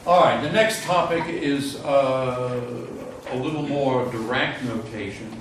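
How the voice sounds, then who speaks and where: A man gives an informal university lecture in a very echoey room, picked up at some distance from the microphone. He speaks haltingly at a middle pitch, thinking aloud and frank about what he is unsure of. Noticeable room noise sits around his voice. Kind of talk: informal classroom lecture with student questions. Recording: roomy echo, distant mic, loud room noise.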